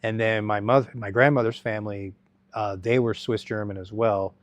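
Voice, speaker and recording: A middle-aged man speaks in a somewhat low voice, telling his story in a halting, rambling way full of restarts and fillers. The sound is dry and close, with no room echo. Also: steady tone. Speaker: guest. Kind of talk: conversational interview storytelling.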